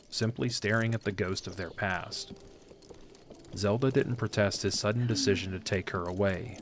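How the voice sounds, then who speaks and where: Male narrator for audio fiction: lower register, measured literary read, faint ambience behind. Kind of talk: scripted story narration. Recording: faint background ambience noise.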